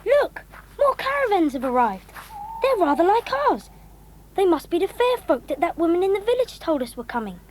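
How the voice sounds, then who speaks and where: A woman playing a sensible, cheerful schoolboy in a children's audio drama, brisk and reassuring. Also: extremely high pitch, sing-song, a little mellow, a little hiss or hum, poor audio. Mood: curious.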